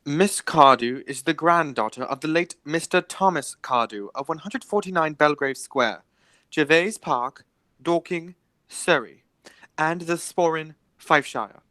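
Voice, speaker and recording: A man playing a formal gentleman guardian who holds his temper, in an amateur radio play. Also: on the high side, a little slow, dry recording. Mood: restrained indignation.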